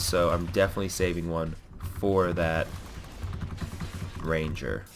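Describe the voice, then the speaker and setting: A man's voice: extremely low pitch, background noise. A young man with a cold, giving rambling casual commentary on his own gameplay, with lots of fillers and self-corrections. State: has a cold.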